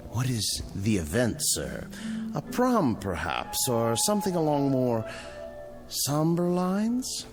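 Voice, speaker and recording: Gloomy formal florist, drawn-out words, huge pitch swings, music behind, old tv style. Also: male voice. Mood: solemn, inquiring. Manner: solemn, deadpan, overly courteous. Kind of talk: vintage television drama acting.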